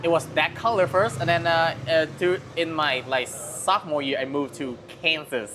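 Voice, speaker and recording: A man from Vietnam, a guest telling his story quickly with stumbles and loose grammar. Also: on the high side, background noise. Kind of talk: casual interview.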